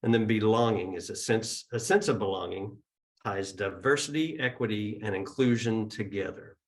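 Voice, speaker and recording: Man opening a service, lowish voice, chatty with ums, speaking to a hall on a mic. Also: normal speed. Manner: chatty and informal, with ums and uhs. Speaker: church service opener. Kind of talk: casual opening remarks at a service. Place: church hall with live and online audience.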